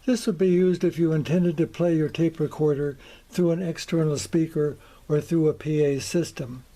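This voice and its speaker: An older man in his seventies narrating a scripted demonstration in a measured, methodical way. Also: fairly high pitch, steady tone, dark tone, rough recording. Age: older, around 70s. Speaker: presenter of vintage electronics. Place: small indoor electronics workshop.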